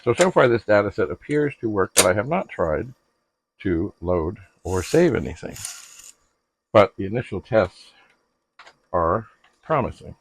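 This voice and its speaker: A middle-aged man narrating a casual hands-on demonstration, musing haltingly aloud to himself with droll, slightly formal turns of phrase. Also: on the low side, big pitch swings, vocal fry, breathy edge, low-quality recording. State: frequent coughing and throat clearing. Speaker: retro computer hobbyist and video host.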